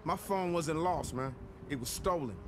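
A man's voice: on the high side, normal speed, noisy background, natural voice. An actor as irritable, commanding male music mogul. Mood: stern. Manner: curt, emphatic, profane. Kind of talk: video game cutscene acting.

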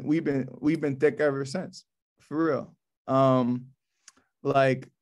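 Slow-paced American man hosting casually. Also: mid-range voice, a little mellow. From New Orleans, USA. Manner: colloquial, halting with repeated words. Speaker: host of a poetry reading. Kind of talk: casual, informal event hosting. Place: small office, indoors. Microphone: laptop mic on a video call.

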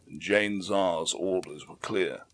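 Male narrator, fairly low register, for dramatic fiction audiobook; deliberate, solemn storytelling.

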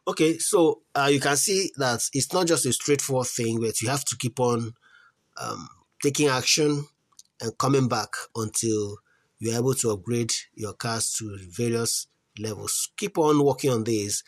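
Husky man thinking aloud over an app. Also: mid-range voice, normal speed. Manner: thinks aloud, trailing off and restarting while searching menus; earnest advice with repeated pleas. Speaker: crypto app tutorial host. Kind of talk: casual screen-recorded walkthrough. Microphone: voice-over on a phone screen recording.

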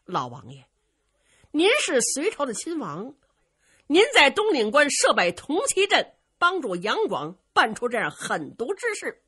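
A woman voicing a bold, defiant young male general in a traditional storytelling performance, speaking loudly and declaiming. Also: sits high, wildly swooping pitch, measured pace, breathy edge, voice pushed up, full character voice. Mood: accusing. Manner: loud, declamatory storyteller delivery.

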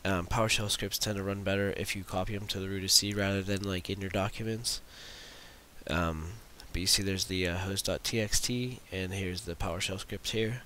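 Casting: male tutorial narrator, low and crisp-edged, informal and unhurried, casual screen-demo delivery. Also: a bit bright. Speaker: tutorial presenter demonstrating own script. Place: voice-over for a screen recording. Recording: hissy voice-over recording.

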